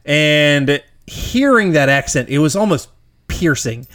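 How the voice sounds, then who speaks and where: A man co-hosting a casual movie podcast, animated and laughing often, his voice jumping up when he gets worked up. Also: a little high, takes it slowly. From Georgia, US. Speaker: podcast co-host. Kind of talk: casual movie review chat.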